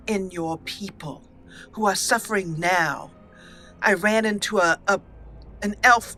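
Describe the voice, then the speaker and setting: A resolute young elf mage, as a woman puts her on speaks in a bright, ringing tone. She talks in a measured, solemn manner, choosing her words with care. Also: mid-range voice, lightly acted. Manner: measured, solemn role-play reading.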